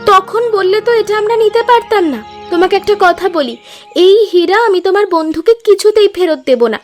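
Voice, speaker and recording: A woman's voice: very high voice, normal speed, a little mellow. A woman voicing a greedy, scheming young wife who pushes her husband. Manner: coaxing, insistent. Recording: voice over music.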